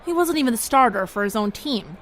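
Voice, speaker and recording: A woman's voice: on the high side, expressive, a little fast, fairly bright tone, a little hiss or hum. A woman playing a persistent, pointed radio interviewer in an audio drama. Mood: skeptical, pressing.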